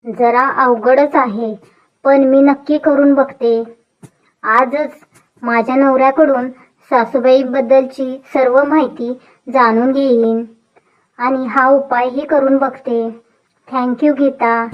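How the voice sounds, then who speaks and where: A woman's voice: high voice, steady tone, takes it slowly, dull audio. A woman playing an earnest, polite young daughter-in-law in a dubbed moral story. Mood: hesitant, willing. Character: earnest young city-bred daughter-in-law, contrite and willing. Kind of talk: animated moral story dubbing.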